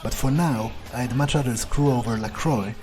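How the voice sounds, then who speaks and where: Casting: male gamer, medium-pitched, improvising in character with halting delivery over faint game music. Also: darkish tone. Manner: hesitant, halting, profane. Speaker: gamer role-playing a vampire character. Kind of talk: in-character game playthrough, thinking aloud.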